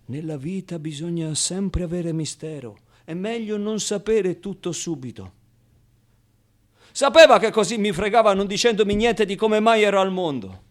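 An actor playing a naive, wistful, streetwise ten-year-old orphan in an expressive, halting radio monologue. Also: male voice, high-pitched, close to an everyday voice. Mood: musing. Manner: expressive, halting, conversational storytelling with rhetorical tags. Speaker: solo actor.

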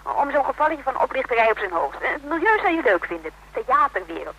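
A woman's voice: high-pitched, sing-song, quick pace, bright, forceful tone, breathy edge, full character voice, rough recording. An actress with a Netherlands Dutch accent playing a pushy, breezy woman friend coaxing over the telephone in a radio drama. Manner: chatty, coaxing. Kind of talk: radio drama phone dialogue.

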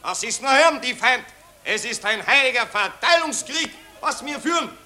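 An actor playing a pompous patriotic Viennese street orator, bombastic and garbled, in a 1940s German radio play. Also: male voice, very high voice, expressive, moderate pace, sharp tone, full character voice. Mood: fervent, bombastic. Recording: old 1940s radio recording.

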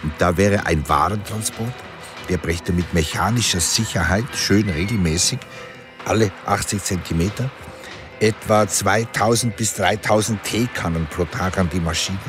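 An actor as a male artist in a radio drama, his voice fairly low. He muses on gleefully destructive inventions, savoring each phrase while music plays underneath. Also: normal speed, lightly acted. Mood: dreamy, mischievous.

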